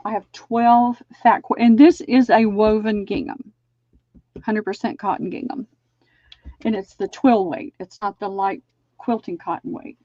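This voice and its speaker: A woman's voice: mid-range voice, dark tone, no room sound. A middle-aged Southern woman talks through her wares in an easy, folksy way, measuring and describing as she goes. The sound is dry and close, with a dull lo-fi stream quality. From Georgia, US. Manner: chatty, folksy, describes fabric while measuring. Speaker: live sale host. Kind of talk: casual live-stream selling.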